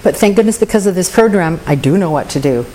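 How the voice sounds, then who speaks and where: Woman, lowish voice, candid recovery share with faint room noise behind. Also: animated, quick pace, warm tone, poor audio. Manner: candid, earnest, self-deprecating humor. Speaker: recovery meeting member.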